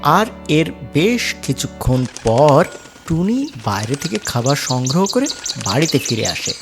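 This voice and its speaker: A children's story narrator with a mid-pitched, animated delivery. The scripted tale is read with lively intonation, and loud music plays behind the voice. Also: a little slow. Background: background music.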